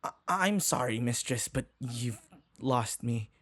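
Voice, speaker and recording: A man's voice: mid-range voice, lively intonation, slow, a bit bright. A man playing a timid, submissive human servant in an audio roleplay, speaking hesitantly and deferentially with stammers. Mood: flustered, eager. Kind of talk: audio roleplay acting, one-sided dialogue.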